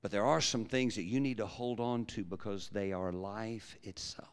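Pastor guy preaching, huge voice, goes from low and quiet to loud, crisp sound, echoing around a big church. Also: fairly even intonation, moderate pace. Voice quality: big projecting voice. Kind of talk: sermon. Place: large church hall. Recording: long hall reverb.

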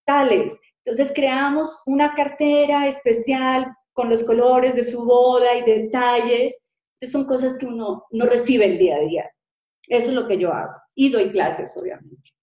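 A middle-aged woman born in Colombia, a cake designer, telling her story in a lively conversational way and acting out little dialogues. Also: fairly high pitch, normal speed, roomy sound. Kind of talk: conversational interview.

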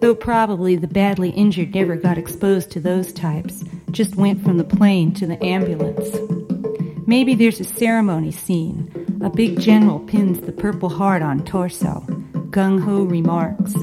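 A woman narrating an audio story, musing on film ideas in measured, short phrases. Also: on the low side, over loud music.

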